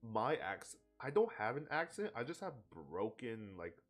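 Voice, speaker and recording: A man's voice: mid-range voice, very animated, unhurried, soft background music. A man in a casual streaming chat, laid-back and chatty, laughing often. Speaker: streamer co-host.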